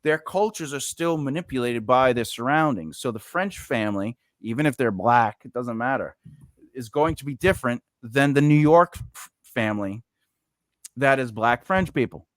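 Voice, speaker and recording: A man's voice: mid-range voice, lively intonation, moderate pace, dry recording. A man hosting a casual podcast chat, rambling with lots of fillers and restarts. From United States. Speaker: podcast host.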